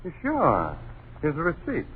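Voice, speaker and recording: Breezy American dad, lively, old radio. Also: male voice, a little high, a little slow. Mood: breezy, explaining. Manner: slangy, breezy, dismissive. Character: brash, easygoing young father renting a country house. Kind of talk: old-time radio drama acting. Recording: noisy, tinny vintage radio recording.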